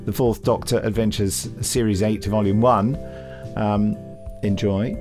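Male podcast host, lowish voice, jokey and digressive, clear music bed behind. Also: fairly even intonation, a little slow. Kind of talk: casual rambling chat.

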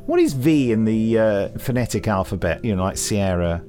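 A man's voice: lowish voice, animated, moderate pace, mellow, soft background music. A man chatting casually as a podcast host. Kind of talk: casual chat.

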